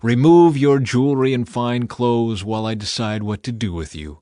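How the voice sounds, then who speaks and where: A man's voice: medium pitch, moderate pace, warm tone, no room sound, studio quality, lightly acted. A man voicing a grave, stern God with solemn authority in a scripture reading. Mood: stern warning. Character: stern God speaking to Moses, commanding and grave.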